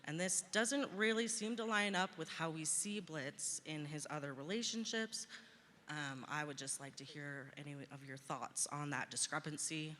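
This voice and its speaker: A woman's voice: low voice, normal speed, fairly bright tone, slight background noise. A woman asking a panel a question from the audience in a large convention hall. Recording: long hall reverb from PA.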